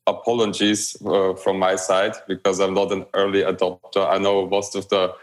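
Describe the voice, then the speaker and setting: A young man, a guest in a remote interview, speaking in a relaxed, hesitant way with fillers and restarts. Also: deep voice, moderate pace. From Romania. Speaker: guest, blockchain company growth lead.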